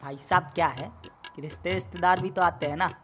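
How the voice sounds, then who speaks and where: A man voicing a brisk, stingy, matter-of-fact customer in a comic cartoon. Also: sits high, steady tone, fairly bright tone, soft background music.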